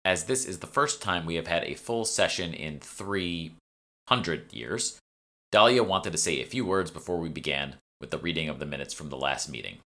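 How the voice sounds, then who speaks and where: A man voicing a formal, measured guild secretary running a meeting in a fantasy audiobook. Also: low voice, expressive, sharp tone, heavily acted. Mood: officious. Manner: officious, measured, formal wording. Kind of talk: audiobook character dialogue.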